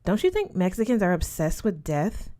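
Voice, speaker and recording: A woman's voice: on the low side, big pitch swings, normal speed, dark tone. A woman voicing a nosy, tactless rich teenage girl in an audiobook, with a pointed, prying manner. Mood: prying, haughty.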